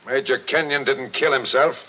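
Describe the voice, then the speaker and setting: An actor playing a blunt, knowing captain in an old-time radio suspense drama, plain-spoken and probing. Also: male voice, mid-range voice, fairly even intonation, moderate pace, lightly acted. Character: blunt, shrewd, knowing captain of Irish descent. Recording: muffled vintage radio recording.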